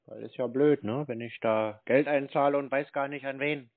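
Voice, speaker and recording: A man's voice: mid-range voice, steady tone, moderate pace. Insistent man on a tinny phone line. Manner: insistent, blunt, colloquial. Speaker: caller confronting a call centre. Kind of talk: phone conversation. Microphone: telephone line. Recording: tinny, narrow-band phone call recording.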